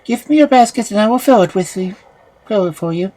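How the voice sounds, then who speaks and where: A woman voicing a kind young man, the witch's son, who speaks gently and coaxingly in a fairy tale reading. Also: medium pitch, animated, fairly quick, pitched above the performer's own voice, close to an everyday voice, poor audio. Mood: gentle.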